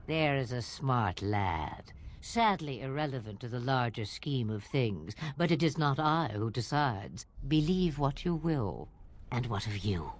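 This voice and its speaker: A woman's voice: a bass voice, lively intonation, unhurried, heavily acted. An actress playing a sly old swamp witch who talks in teasing riddles. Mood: probing. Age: older.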